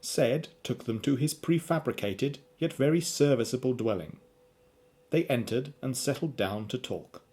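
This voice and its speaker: A man's voice: mid-range voice, measured pace, warm tone. A man reading scripted narration as the storyteller of an audio drama.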